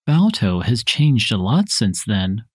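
A man reading his parts in a scripted dialogue for a language listening test, with careful, even delivery. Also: lowish voice, slow, breathy edge, dry recording, clean studio sound.

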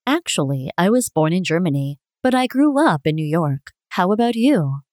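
Woman, animated intonation, dry close recording, scripted listening-test dialogue. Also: lowish voice, moderate pace, no room sound. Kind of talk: scripted textbook dialogue reading.

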